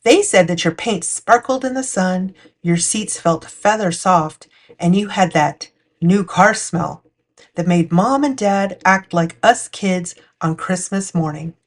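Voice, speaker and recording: A woman's voice: on the low side, slow. A woman narrating a picture book for children as a storytime host. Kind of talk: storytime read-aloud narration.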